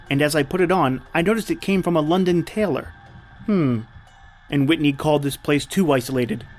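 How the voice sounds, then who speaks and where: A man's voice: on the high side, close to an everyday voice. A man playing a shipwrecked big-game hunter, polite and observant, in earnest first-person radio drama style.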